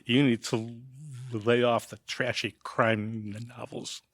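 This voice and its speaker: A man's voice: mid-range voice, little pitch movement, slow, dry recording, studio quality. A man playing a weary, exasperated husband in an audio comedy, grumbling and dismissive. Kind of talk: audio theatre comedy acting.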